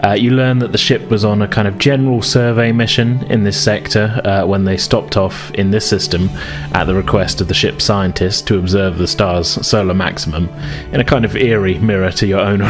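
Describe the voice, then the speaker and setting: A man with a low voice narrates a tabletop roleplaying game as game master. His delivery is conversational and descriptive. Music plays faintly behind him.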